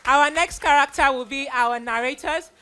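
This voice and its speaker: A middle-aged woman hosting children on stage, giving an informal, animated vote of thanks and speaking to an audience. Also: high voice, normal speed, a little hiss or hum. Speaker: host presenting child performers of a church play.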